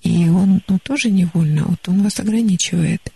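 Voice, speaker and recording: A woman psychologist counselling a caller on a studio radio call-in show, calm and gently advising. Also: lowish voice, normal speed, vocal fry, slightly rough.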